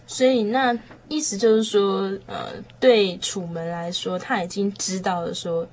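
A young woman speaks Taiwanese Mandarin as a guest in a reflective discussion. Her mid-pitched voice has a soft huskiness, and she feels her way through her sentences tentatively. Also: steady tone, unhurried, breathy edge. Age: young adult.

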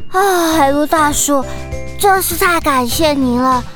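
A woman's voice: sits high, unhurried, music in the background. A woman voicing a polite, childlike young boy dolphin in a children's audio drama, eager and a little hesitant. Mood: grateful, happy.

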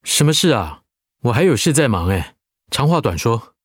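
A man acts a busy, self-absorbed husband in an audio drama. His mid-pitched voice swings with irritation and sounds curt and dismissive, recorded close and dry. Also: sing-song, moderate pace, husky, no room sound. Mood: impatient.

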